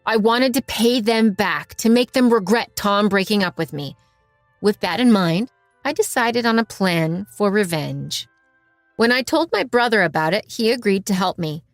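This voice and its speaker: A woman narrates a scripted first-person drama. Her voice sits at a medium pitch in a dry recording, with music underneath. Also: lively intonation, moderate pace, no room sound. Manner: voices dialogue of several characters. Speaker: story narrator.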